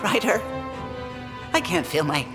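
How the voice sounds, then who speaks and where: Theatrical, swooping alien crewmate. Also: male voice, high-pitched, very animated, a little slow. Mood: mock dying. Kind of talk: video game character acting. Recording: reverberant, music underneath.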